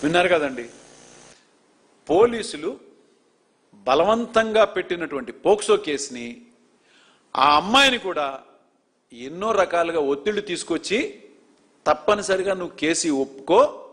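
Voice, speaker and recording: Emphatic high-voiced male news presenter. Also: animated, unhurried, pauses often, fairly bright tone. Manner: indignant, emphatic, rhetorical questions. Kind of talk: political news commentary.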